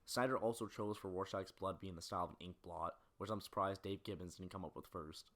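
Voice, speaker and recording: Video essayist: young male, deep-pitched, brisk scripted narration. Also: fairly bright tone, a little hiss or hum. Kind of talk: scripted film-analysis narration.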